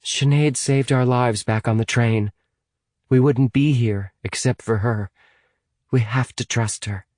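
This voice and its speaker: Audiobook role, male reader: earnest young girl leader, decisive, raised pitch, close dry sound. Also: fairly even intonation, a little slow, a little mellow, no room sound, natural voice. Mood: firm. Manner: urgent, reasoning, decisive. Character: decisive, earnest teenage girl leading friends in an adventure novel.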